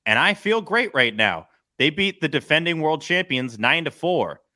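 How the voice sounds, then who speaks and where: Bright-voiced man in casual sports chat. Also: on the high side, very animated, moderate pace. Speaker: sports podcast host.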